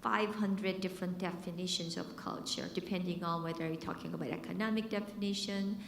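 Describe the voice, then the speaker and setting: A woman's voice: on the low side, steady tone, fairly quick, creaky. A Korean-born woman in her fifties, a business school dean, giving a conversational conference talk with a Korean accent mixed with American and British sounds, with fillers and repeated words. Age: 50s. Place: conference hall. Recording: echoey hall with long reverb.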